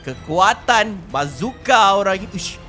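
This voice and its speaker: A Malaysian man hosting a gaming video with excited, animated roleplay commentary, exclaiming and drawing out words. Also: high-pitched, soft background music. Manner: animated, exclaiming, drawn-out shouts.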